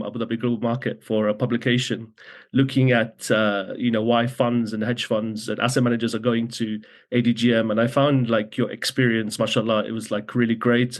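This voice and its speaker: A man hosting a podcast, introducing a guest and asking questions in a friendly way, with casual fillers. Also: mid-range voice. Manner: friendly, loose with fillers like "like" and "you know". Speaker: podcast host.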